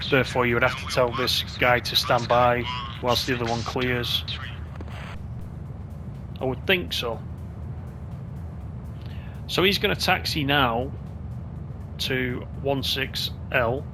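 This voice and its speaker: A man's voice: mid-range voice, steady tone, slow, pauses often, over music. A man giving casual gameplay commentary as a video host, chatty and thinking aloud.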